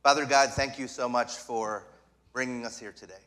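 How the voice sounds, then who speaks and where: Bright-voiced man preaching in church. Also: medium pitch, fairly even intonation. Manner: speaks in short phrases, pausing for the interpreter. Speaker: guest preacher. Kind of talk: sermon in English with live interpretation. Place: church sanctuary.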